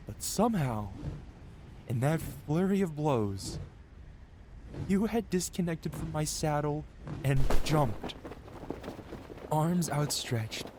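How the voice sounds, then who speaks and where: A man's voice: a little high, noisy background. A man voicing an angry yet protective dragon who scolds a rider in a dramatic, emotional fantasy ASMR roleplay. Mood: accusing, upset.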